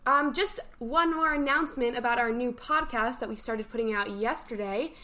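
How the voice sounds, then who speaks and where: Young female podcast co-host, slightly high voice, announcements and easy banter. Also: normal speed, pushed and bright. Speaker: co-host of a camp morning show podcast.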